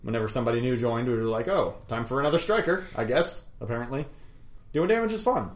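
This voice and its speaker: A man's voice: medium pitch, fast. A young man telling a loose, rambling story about an old tabletop campaign. He fills his speech with ums and little laughs. The sound carries the echo of a roomy room. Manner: rambling, chuckling, many fillers. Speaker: podcast co-host.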